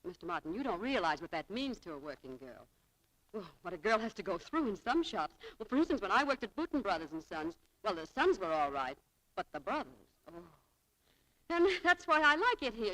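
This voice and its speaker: An actress playing a spirited, wheedling young shopgirl, quick to take offence, in 1940s radio style. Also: on the high side, fairly bright tone, low-quality recording. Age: young adult. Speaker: radio actress in a romantic comedy. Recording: vintage 1940s radio broadcast.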